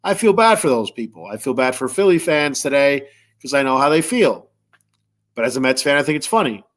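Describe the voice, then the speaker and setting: A man's voice: medium pitch, lively intonation, brisk. A man hosting a casual live sports talk stream, blunt and rambling, swearing freely, with a stuffy nose. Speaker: sports talk show host.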